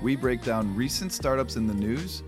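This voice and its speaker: A man's voice: mid-range voice, expressive, moderate pace, over music. A man co-hosting a podcast, speaking in a friendly, conversational way as he introduces and interviews. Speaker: podcast co-host.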